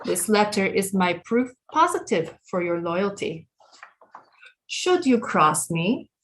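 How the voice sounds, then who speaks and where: Woman doing a scheming french queen mother, medium pitch, formal and grand, audio drama acting. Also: fairly bright tone. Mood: sly, menacing. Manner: grandiose, formal, deliberate period speech.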